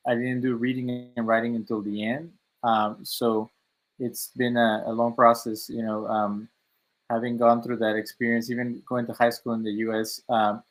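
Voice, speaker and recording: Flat-toned Mexican-born man, halting. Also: medium pitch, rough recording. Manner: halting, many fillers and restarts. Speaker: author guest. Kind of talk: interview answers.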